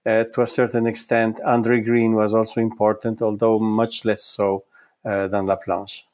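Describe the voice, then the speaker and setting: A man from Italy whose first language is Italian, answering interview questions in a thoughtful, halting way with frequent repeated words. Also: fairly low pitch, completely flat delivery, a little mellow. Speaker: psychoanalyst guest.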